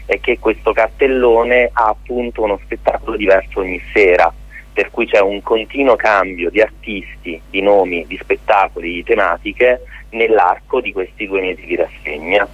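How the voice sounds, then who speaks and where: A man speaking as a guest in an informal radio interview, explaining as the organiser of a theatre festival, with a husky voice. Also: fairly low pitch, dull audio.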